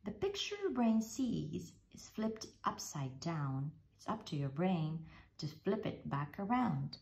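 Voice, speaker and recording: A woman slowly reading a rhyming picture book aloud, with an expressive read-aloud manner. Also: vocal fry. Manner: slow, expressive read-aloud with lively pitch. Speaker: storybook reader.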